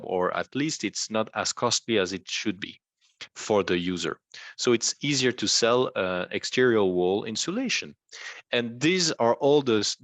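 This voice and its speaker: Low-voiced man, close and dry. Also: a bit bright, no room sound. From Belgium. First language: not English. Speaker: interview guest, rank and rent website entrepreneur. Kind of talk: explanatory conversational talk.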